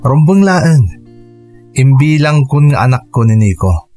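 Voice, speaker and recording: A man playing a kind, devoted suitor and stepfather figure in a radio drama, gentle and sincere. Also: medium pitch, big pitch swings, measured pace, soft background music.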